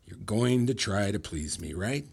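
A man's voice: on the low side, lively intonation, normal speed, creaky, a little mellow, breathy edge, exaggerated voice. A man playing a manipulative, patronising mentor in an audio drama, speaking articulately and with control as a self-styled father figure. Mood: cold, accusing.

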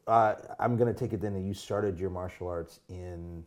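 A man's voice: low-pitched, fairly even intonation, moderate pace, a little mellow. An American man from the Midwest speaking as the host of an interview podcast. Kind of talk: casual interview chat, with a read-out intro.

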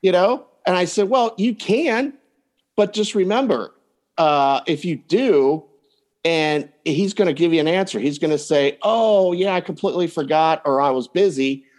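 A man's voice: on the high side, animated, normal speed, darkish tone. A man speaking as a coach and podcast guest, giving advice in a casual, anecdotal way. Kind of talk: casual advice chat, telling client anecdotes.

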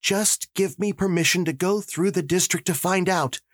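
A man voicing an eager, excitable young detective who pleads insistently in a raised, high voice. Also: moderate pace, no echo, studio quality.

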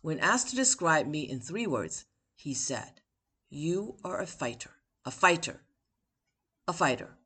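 Woman with a low voice, reading her essay. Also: very animated, unhurried, bright, forceful tone, husky. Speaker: author and podcast host. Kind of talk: reading her own personal essay aloud.

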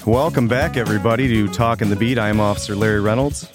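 A man's voice: lowish voice, quick pace, loud music behind the voice. A man, a police officer co-hosting a podcast, who talks in a jokey, upbeat way. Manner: jokey and upbeat, laughs easily. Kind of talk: casual podcast chat. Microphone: studio microphone.

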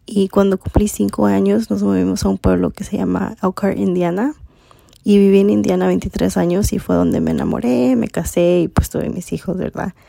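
A woman's voice: mid-range voice, lively intonation, moderate pace, vocal fry, dark tone, dry recording, studio quality. A woman in her twenties from Mexico, hosting a podcast and chatting casually with many fillers. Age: 20s. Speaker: podcast host.

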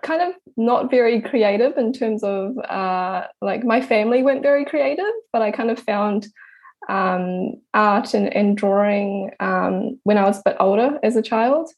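A young woman in her twenties with a New Zealand accent, telling her own story in an interview. Her voice sits at an ordinary middle pitch with a gravelly, creaky edge, and she talks hesitantly, full of ums and restarts. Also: unhurried, a little mellow. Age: 20s. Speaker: guest, artist. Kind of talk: casual interview answers.

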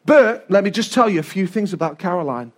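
A middle-aged man with an English accent preaching an informal, humorous sermon in a loud, animated, conversational manner, repeating phrases for emphasis. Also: high-pitched, a little fast.

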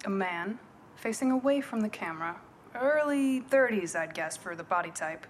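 An actress playing a cool, confident female private investigator in a noir radio play, deadpan and wisecracking. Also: mid-range voice, normal speed, slight background noise, close to an everyday voice. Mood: cool, businesslike. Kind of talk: noir radio play acting, narration and dialogue.

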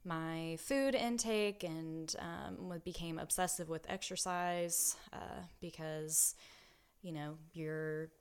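A woman co-hosting a casual podcast, speaking quietly and with hesitation, pausing often to measure her words. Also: deep voice, steady tone, clean tone. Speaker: podcast co-host. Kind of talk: casual chat.

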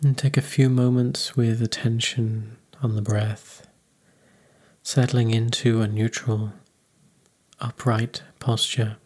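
A man leading a guided meditation in a calm, unhurried, soothing manner. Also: mid-range voice, breathy edge.

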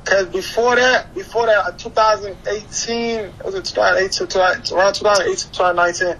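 A man's voice: high voice, fairly even intonation, fast, sharp tone. A young man from Haiti and Florida chatting casually as an interview guest, slangy and repeating his phrases. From Haiti, moved to the US at eleven; Florida. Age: young adult. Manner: slangy, repeats phrases, often says "you know what I'm saying". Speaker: interview guest, hip-hop artist. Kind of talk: casual chat. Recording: clearly noisy background ambience.

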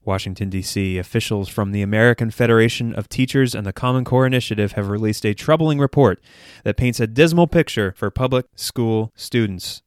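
A man's voice: lowish voice, brisk, hardly any pauses, clean tone, no room sound, clean studio sound. A man hosting a podcast, reading a satirical news report in a mock-serious newsreader delivery. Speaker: podcast host.

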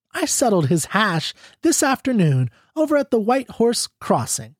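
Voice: mid-range voice, darkish tone, breathy edge, no room sound, studio quality, pitched above the performer's own voice. A reader voicing a young ranch woman who sounds sweet, pleading and girlish.

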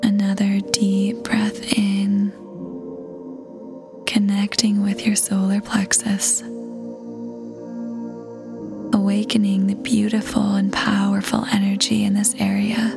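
A woman narrating a scripted guided meditation in a soft, breathy near-whisper, soothing and gentle, pausing between phrases. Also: mid-range voice. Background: soft new-age music.

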